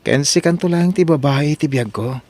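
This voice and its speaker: A man's voice: fairly high pitch, moderate pace, a little mellow, slight background noise, natural voice. A man with an Ilocano accent playing a devoted, reassuring young boyfriend in an audio drama. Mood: tender, reassuring. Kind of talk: Ilocano radio-style audio drama acting.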